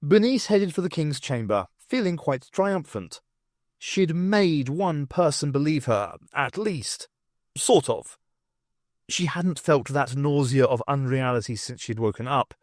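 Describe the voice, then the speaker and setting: A man narrates a novel with an expressive, wry delivery, his pitch rising and falling freely. His voice sits a little high and sounds dry and close to the mic. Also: measured pace, no echo. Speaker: audiobook reader. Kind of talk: audiobook narration.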